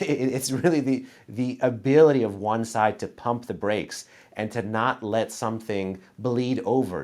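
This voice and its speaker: A man hosting a panel discussion who makes dense, argumentative points and adds quick footnotes. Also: fairly low pitch, darkish tone. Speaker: host moderating a panel.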